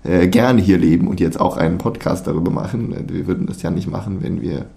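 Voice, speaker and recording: Male, 30, from Thuringia, lowish mellow voice, casual podcast co-host with halting, dryly joking delivery. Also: creaky. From Thuringia, Germany. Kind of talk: casual chat between two co-hosts.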